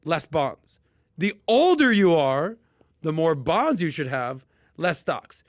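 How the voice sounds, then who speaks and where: A man hosting a casual podcast and explaining investing in an emphatic, animated way, with sudden loud bursts. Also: a little high, slow pace, no echo, clean studio sound. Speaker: podcast host.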